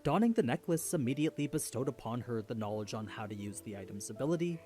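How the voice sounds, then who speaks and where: A man's voice: medium pitch, animated, fast, mellow, soft background music. A man reading scripted narration for an explainer video.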